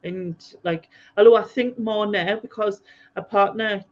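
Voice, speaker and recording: A woman's voice: medium pitch, steady tone, measured pace, a little mellow, muffled recording. A woman with a North East English accent, hosting a casual chat podcast and rambling in a chatty way with many fillers. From North East England. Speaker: podcast host.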